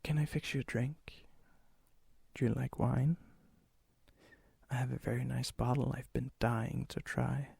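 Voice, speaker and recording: A man playing a lonely, gentle, melancholy vampire in an ASMR roleplay, speaking softly and hesitantly, often near a whisper. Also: mid-range voice, fairly even intonation, creaky, breathy edge, no echo, exaggerated voice. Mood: coaxing, inviting. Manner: hesitant, wistful, halting. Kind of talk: ASMR roleplay, intimate acted monologue.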